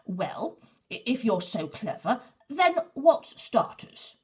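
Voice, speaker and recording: A big, fierce storybook wolf acted by a woman reading aloud, greedy and menacing. The words come in a low, drawn-out growl. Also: sing-song, a little mellow. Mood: gruff, challenging.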